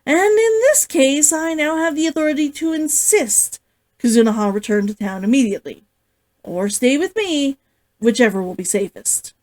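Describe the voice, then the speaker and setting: A woman's voice: sits high, lively intonation, normal speed, darkish tone, pitched above the performer's own voice. A woman voicing a dutiful, practical monster hunter woman who speaks firmly and matter-of-factly in a story. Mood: insistent.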